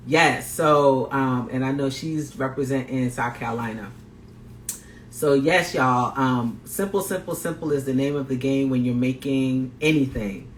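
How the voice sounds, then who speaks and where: Upbeat male chef hosting a live cooking demo, mid-pitched voice, roomy recording. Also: fairly even intonation, measured pace. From Guyana. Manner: upbeat, greets viewers, repeats phrases. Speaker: plant-based chef and health coach. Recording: roomy echo, faint background noise.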